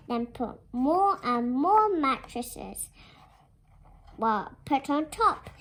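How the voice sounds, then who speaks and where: A child reading a fairy tale aloud in a careful, expressive storytelling manner, as a storybook narrator. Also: high-pitched, slight background noise, rough recording. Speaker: storybook reader.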